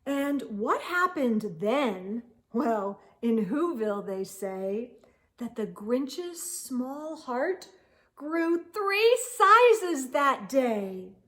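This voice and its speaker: A woman's voice: high voice, very animated, extremely slow, a bit bright. A woman reading a rhyming picture book aloud to children, with dramatic, rhythmic storyteller emphasis.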